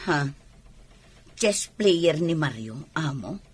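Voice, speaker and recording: A woman's voice: deep voice, animated, slow pace, breathy edge, heavily acted. A woman playing a sceptical, defensive middle-aged mother of a young athlete in a radio drama, with an Ilocano accent. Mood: earnest.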